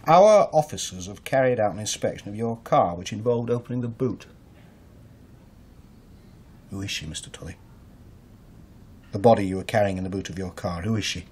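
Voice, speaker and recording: An actor playing a measured, formal police detective in a radio drama. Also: male voice, on the low side, expressive, a little mellow, slightly rough. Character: measured male police detective questioning a suspect. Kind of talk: naturalistic radio drama acting.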